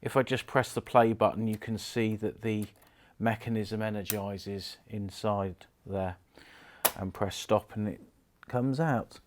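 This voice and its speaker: A middle-aged British man giving an informal, unhurried hands-on commentary, explaining things step by step as he works. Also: fairly low pitch, steady tone, slight background noise. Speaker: presenter of a repair video, former radio engineer. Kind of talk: casual hands-on demonstration commentary.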